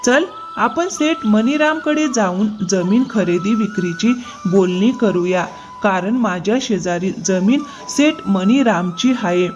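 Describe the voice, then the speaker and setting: A woman voicing an earnest, polite young male farmer in an animated moral story. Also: mid-range voice, a little slow, faint music behind, close to an everyday voice.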